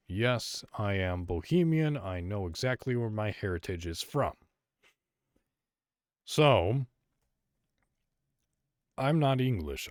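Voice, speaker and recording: A man's voice: fairly low pitch, dry recording, studio quality. A man from Illinois hosting a solo podcast, talking casually and rambling with frequent fillers and restarts. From Illinois, USA. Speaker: podcast host.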